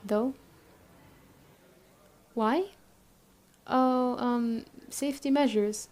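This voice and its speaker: A woman playing a young zombie-apocalypse camp chief in a roleplay audio drama, explaining things conversationally to the listener with hesitant pauses. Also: fairly high pitch, warm tone.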